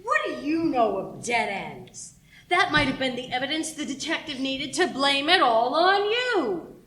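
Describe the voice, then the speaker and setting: A woman playing a sharp-tongued, accusing radio station employee in a mystery radio play, pointed and cutting. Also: sits high, big pitch swings, unhurried, full character voice. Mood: snippy.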